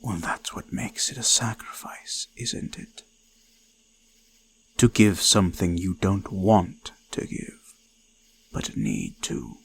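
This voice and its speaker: Very slow, gravelly forest god. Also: male voice, sits low, very animated, heavily acted. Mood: cold menace. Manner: slow, deliberate, archaic phrasing, often sinking to whispers. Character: ancient eldritch forest god, male, menacing, slow, hungrily curious. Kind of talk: scripted immersive roleplay monologue, folk horror. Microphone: close binaural microphone.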